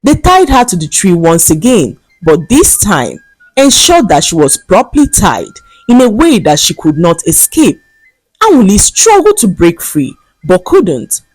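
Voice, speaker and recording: A woman narrating a scripted folktale as a storyteller, in a dramatic, expressive manner. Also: medium pitch, a little slow, slightly rough, no echo, low-quality recording. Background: faint background music.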